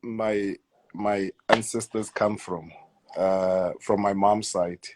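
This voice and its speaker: A South African man in his thirties speaks in a fairly low voice, stumbling and repeating himself as he answers. His sentences tend to trail off softly at the ends. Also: fairly even intonation, slow. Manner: hesitant, repeats words, soft trailing endings. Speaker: guest footballer. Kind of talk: casual interview.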